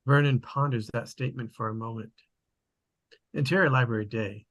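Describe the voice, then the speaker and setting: A woman narrates stage directions in a plain scripted read. Her voice is extremely deep for a woman, with a husky texture. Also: normal speed.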